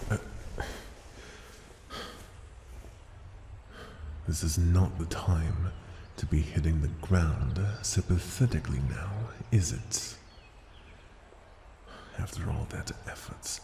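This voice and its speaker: A man voicing a haughty, teasing ancient dragon in human form for an ASMR fantasy roleplay, gravelly and breathy, with condescending, seductive murmurs. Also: low-pitched, mellow, heavily acted. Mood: taunting, questioning. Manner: condescending, taunting, drawn-out phrases dipping into breathy murmurs. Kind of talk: ASMR fantasy roleplay, slow theatrical delivery. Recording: echoing reverberant hall, background ambient noise.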